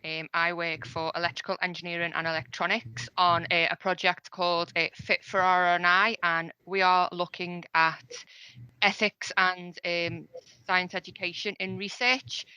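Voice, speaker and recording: A woman's voice: lowish voice, fairly even intonation, moderate pace, sharp tone, clean tone, no room sound, low-quality recording. A woman working on a university research project, introducing herself in a friendly, plain-spoken way on a podcast panel.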